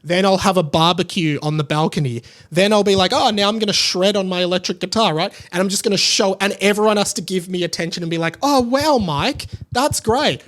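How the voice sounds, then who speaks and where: A man's voice: high voice, a little fast, hardly any pauses, a bit bright. A man in his late twenties talking casually and animatedly on a solo podcast, rambling and self-deprecating. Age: late 20s. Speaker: podcast host.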